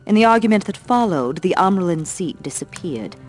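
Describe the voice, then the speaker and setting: An actress playing a haughty, formal senior council sitter in a fantasy video game, speaking in a measured, imperious way. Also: female voice, on the low side, expressive, soft background music, lightly acted. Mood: cool, self-assured. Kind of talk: scripted video game cutscene acting.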